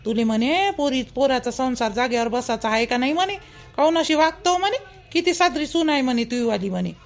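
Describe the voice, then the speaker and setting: A woman's voice: high-pitched, animated, brisk, soft background music. A woman voicing a chatty, meddling, protective elder in a village cartoon, with a colloquial Vidarbha Marathi accent. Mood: indignant gossip. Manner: colloquial, gossipy, advising.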